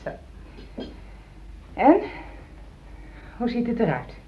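A woman's voice: a little high, huge pitch swings, extremely slow, heavily acted. An actress in a Dutch radio play, playing a pragmatic, ambitious housewife who insistently reassures and backs her husband. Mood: probing, reassuring. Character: loyal, ambitious middle-aged housewife, pragmatic, supportive of husband. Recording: dull old radio recording.

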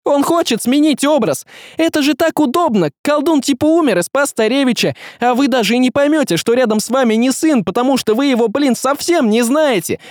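Narrator doing a worked up young guy yelling, really high voice, blunt n slangy, storybook hero. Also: brisk, dry recording, studio quality. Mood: frantic, indignant. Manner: shouting, blunt modern slang. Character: frantic, outspoken young man exposing a sorcerer.

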